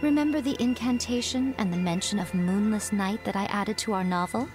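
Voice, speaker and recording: An actress playing a playful, smug fox shrine maiden in a video game, teasing and poised. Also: female voice, medium pitch, fairly quick, natural voice. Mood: explaining calmly. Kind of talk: video game character dialogue. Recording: game music behind.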